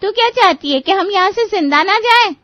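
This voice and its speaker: Woman acting a shrill, scolding young friend. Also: animated, moderate pace, no room sound, highs cut off. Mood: horrified, scolding.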